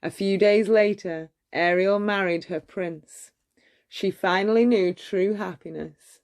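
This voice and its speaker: A woman reading a bedtime story aloud in an expressive, measured way. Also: mid-range voice.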